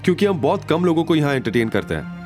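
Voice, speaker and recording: Man putting on a haughty, high-pitched saleswoman. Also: sing-song, fast talker, darkish tone, faint music behind. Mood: condescending. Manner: condescending, clipped politeness, English words mixed in. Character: haughty dismissive young saleswoman in luxury real estate.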